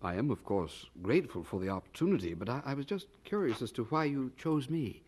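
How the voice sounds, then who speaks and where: A man's voice: medium pitch, expressive, brisk, a little mellow, slightly rough. An actor playing a kindly, eager young churchman in a radio drama, earnest and probing, with a slight stammer. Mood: thoughtful, curious.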